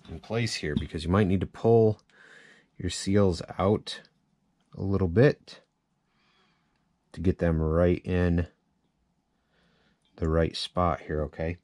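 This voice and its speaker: Very deep-voiced man. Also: takes it slowly, pauses often, a little mellow. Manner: informal, thinking aloud while working with hands. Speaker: chainsaw repair demonstrator. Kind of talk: casual hands-on tutorial. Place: cluttered indoor workshop at a workbench.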